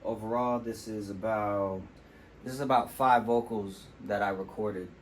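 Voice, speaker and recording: Deep-voiced man explaining a vocal mix. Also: measured pace, a little hiss or hum. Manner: relaxed, explanatory, with fillers like "you know". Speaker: music producer demonstrating vocal mixing. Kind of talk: casual tutorial walkthrough. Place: temporary home studio.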